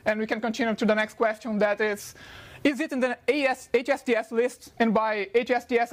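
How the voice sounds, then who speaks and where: Man, Brazilian Portuguese accent, native Portuguese speaker, fast conference talk, frequent fillers, lecture hall. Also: very high voice, a bit bright.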